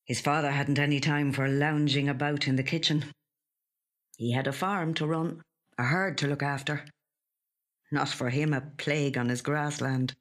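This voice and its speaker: An actor with a slightly husky, breathy voice reading a literary short story in a measured, hushed way. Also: medium pitch. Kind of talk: scripted literary narration.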